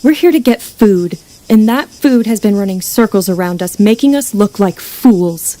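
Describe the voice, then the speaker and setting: Woman playing a mellow, protective runaway leader. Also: medium pitch, normal speed, lightly acted, rough recording. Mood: firm, impatient. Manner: steady, firm, caring. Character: protective, stern female leader of starving runaways. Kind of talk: audio drama acting.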